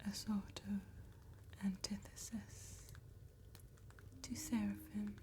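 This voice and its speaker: A woman playing an attentive, courteous vampire tattoo artist in a slow, breathy ASMR whisper with deliberate pauses. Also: mid-range voice, little pitch movement, natural voice. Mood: hushed, ominous. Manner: slow, deliberate, gentle phrasing with long pauses.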